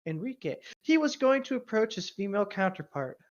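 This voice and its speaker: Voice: medium pitch, big pitch swings, fairly quick. A co-host casually chatting and reading fan fiction aloud in a wry, joking way. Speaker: podcast co-host. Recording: dull, lo-fi microphone sound.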